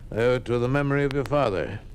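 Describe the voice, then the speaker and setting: An actor playing a sly older lieutenant governor, avuncular and evasive, in 1950s radio drama style. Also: male voice, mid-range voice, rapid-fire, close to an everyday voice. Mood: falsely friendly. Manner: avuncular, evasive, hesitant hemming. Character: sly, smooth-talking older lieutenant governor, two-faced party official. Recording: noisy old 1950s radio recording.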